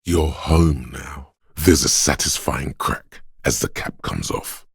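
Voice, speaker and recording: A man playing a seductive inner voice of addiction in a video game, narrating dramatically in a gravelly, savoring, coaxing way. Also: takes it slowly, no room sound, studio quality, exaggerated voice. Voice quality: gravelly, husky bass.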